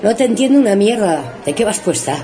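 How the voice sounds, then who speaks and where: A woman playing a brash, sarcastic young female friend with a Spanish accent and a slightly husky voice, blunt and sweary. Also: mid-range voice, lively intonation, fairly quick, warm tone, a faint crowd, natural voice. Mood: teasing, puzzled. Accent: Spanish, from Spain.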